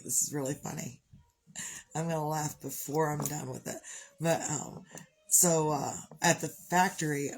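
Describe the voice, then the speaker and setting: A woman with a congested voice who keeps clearing her throat, talking on a live video in a chatty, upbeat, rambling way about gratitude and her morning. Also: low voice, a little mellow, a little hiss or hum, rough recording. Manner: chatty, upbeat, wanders off topic. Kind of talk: rambling motivational chat.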